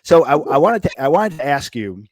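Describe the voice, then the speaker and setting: A man in his forties hosts a podcast and asks long, rambling questions with plenty of fillers. He speaks at a measured pace into a close headset mic. The sound is dry and close. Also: fairly high pitch, sing-song, no room sound. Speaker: podcast host. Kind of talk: casual interview chat. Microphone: headset, studio.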